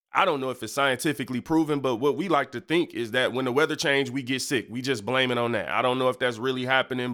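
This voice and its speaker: A young man talks through a stuffy, congested nose at a middle pitch. He keeps his faith podcast loose and conversational, with slang, asides and plenty of "you know what I'm saying". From Michigan. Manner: relaxed slangy talk with many fillers. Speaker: podcast host and preacher. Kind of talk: casual devotional podcast talk.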